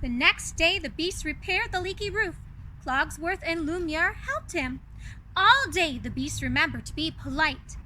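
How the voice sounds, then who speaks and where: A woman reading a children's story aloud as a fairy-tale princess, expressive and carefully enunciated. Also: very high voice, unhurried, a little hiss or hum. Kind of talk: animated children's read-aloud.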